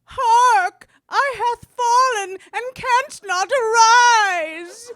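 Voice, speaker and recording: A woman's voice: very high voice, extremely slow, fairly bright tone, full character voice. A woman playing a melodramatic fallen elderly person in a mock-Shakespearean commercial sketch. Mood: wailing distress.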